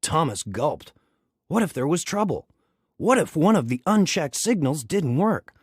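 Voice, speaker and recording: A man reading a children's story aloud in an expressive, dramatic storytelling manner. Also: a little high, unhurried, slightly rough, dry recording, studio quality. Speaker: storybook reader.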